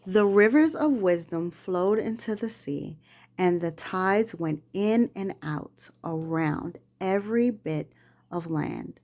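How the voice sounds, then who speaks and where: A woman's voice: on the low side, expressive, slow, darkish tone. A woman reading a children's storybook aloud, patiently prompting young readers along the way. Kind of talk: read-aloud storybook narration with gentle corrections.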